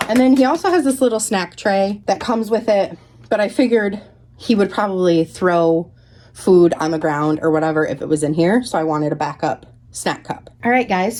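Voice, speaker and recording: A woman's voice: medium pitch, moderate pace, darkish tone, slight background noise. A young woman talking casually to her viewers, a little stuffy and out of breath from a cold, upbeat and chatty. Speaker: vlogger. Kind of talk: casual show-and-tell vlog.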